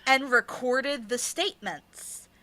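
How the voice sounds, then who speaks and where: A woman's voice: high voice, slow pace, sharp tone, low-quality recording. A woman in a candid, casual interview, talking in a blunt, emphatic and often sweary way. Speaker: interviewed writer and podcast creator.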